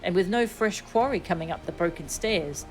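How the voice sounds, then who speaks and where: A woman's voice: on the low side, lively intonation, normal speed, soft background music, close to an everyday voice, poor audio. A woman voicing an eager, talkative merchant from a fantasy game, with a dramatic, salesy manner.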